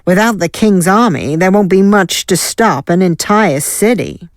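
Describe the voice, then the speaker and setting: A woman's voice: medium pitch, a little slow, no echo, studio quality, lightly acted. A woman playing an assured, wry guild fighter in a fantasy audio drama. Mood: confident. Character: Ilaeda, confident wry female guild fighter. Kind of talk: scripted audio drama acting.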